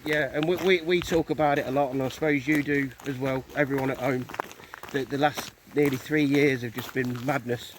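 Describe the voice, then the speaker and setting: A man's voice: medium pitch, fairly even intonation, a little fast. A man with an English accent co-hosting a walking vlog, talking casually outdoors. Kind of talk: casual chat. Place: outdoors on a country lane. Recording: outdoor phone recording with clear background noise.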